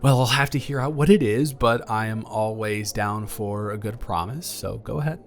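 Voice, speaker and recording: A man's voice: on the low side, moderate pace, close to an everyday voice. A man playing an awkward, affectionate young ex-boyfriend in an improvised intimate audio roleplay, talking in a hesitant, playful, earnest ramble. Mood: earnest, reassuring.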